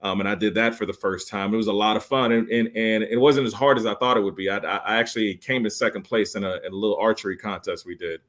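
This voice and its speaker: A man in his late forties, a resort sales guest on a podcast, giving an enthusiastic pitch full of fillers and restarts. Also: fairly even intonation, fast, few pauses, fairly bright tone. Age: 40s. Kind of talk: podcast interview.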